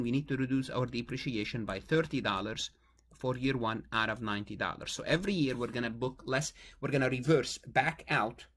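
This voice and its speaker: A male accounting lecturer with a husky, slightly rough voice works through a problem step by step. He repeats key phrases for emphasis and often asks a question before answering it himself. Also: mid-range voice, a bit bright. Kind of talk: explanatory tutorial, working through an exam problem.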